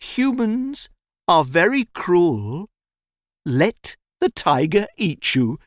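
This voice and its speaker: An old, weary horse from a children's tale, voiced by a woman, mournfully complaining of cruelty. It speaks very slowly, pitched up from plain narration. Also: very animated, no echo, studio quality, exaggerated voice. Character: tired old horse, bitter about cruel humans.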